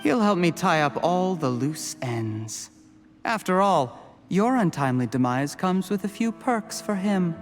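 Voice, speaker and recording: An actor playing a scheming male official who calmly gloats over his victim, with a menacing, measured delivery. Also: high voice, soft background music. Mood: smug. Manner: calm, menacing, drops to a hushed whisper. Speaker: game character.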